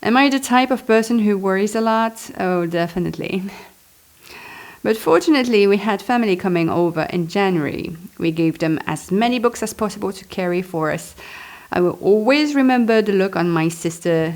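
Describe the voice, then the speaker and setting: A woman's voice: medium pitch, lively intonation, moderate pace, a little mellow. A woman reads her own memoir aloud, expressively, adding chatty, self-deprecating asides. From Belgium. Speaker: author reading and commenting on own memoir.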